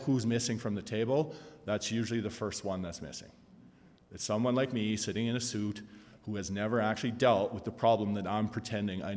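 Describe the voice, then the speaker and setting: A man in his forties giving a conference panel talk, telling a self-deprecating story with an animated delivery. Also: lowish voice. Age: 40s. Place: conference hall. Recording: long hall reverb.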